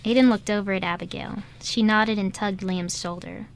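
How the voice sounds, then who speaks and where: A woman's voice: mid-range voice, fairly even intonation, moderate pace. A woman reading scripted third-person narration as the narrator of an amateur radio drama. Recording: light background noise and ambience.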